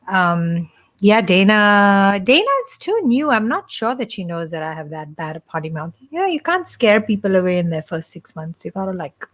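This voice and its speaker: A middle-aged woman from India with an Indian accent, hosting a playful, chatty live stream for her church and joking with viewers. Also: lowish voice. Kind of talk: casual live-stream chat.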